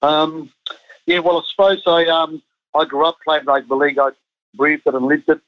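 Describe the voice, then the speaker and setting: A middle-aged man from Chile talks through his rugby coaching life in loose, rambling sentences, stopping often for ums and restarts. His voice sits a little high for a man. The sound is muffled and lo-fi, with a very dry, close feel. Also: lively intonation, moderate pace, no room sound. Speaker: national team head coach. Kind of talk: casual interview chat.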